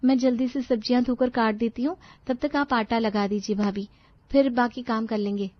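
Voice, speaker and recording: A woman voicing a cheerful, chatty young newlywed daughter-in-law in a storybook tale, excitable and girlish. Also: a little high, moderate pace, no echo, highs cut off, lightly acted. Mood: helpful, bright.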